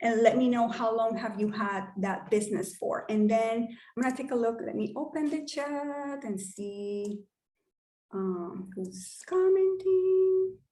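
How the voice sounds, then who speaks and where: A woman's voice: fairly high pitch, unhurried, dark tone. Workshop host: a woman with a Colombian accent, native Spanish speaker, casual and upbeat, in a reverberant room. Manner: casual and upbeat, with occasional swearing. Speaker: workshop host and wealth coach. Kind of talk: online workshop talk.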